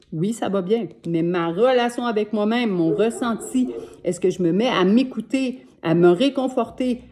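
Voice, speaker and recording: A woman in her sixties with a Québécois French accent, giving a gentle, earnest self-help talk to camera from home as a life coach. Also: on the high side. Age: 60s.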